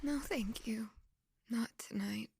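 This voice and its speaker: An actress playing a grieving, self-blaming young servant woman in an emotive, halting audio drama. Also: fairly high pitch, creaky, darkish tone, slightly rough, full character voice. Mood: withdrawn, tired. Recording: music under the voice. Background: music.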